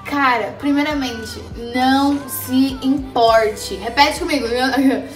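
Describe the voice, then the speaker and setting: A young woman vlogger chatting casually and motivationally, animated and slangy, speaking straight to her viewers. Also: high-pitched, slow pace. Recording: roomy echo, faint background music.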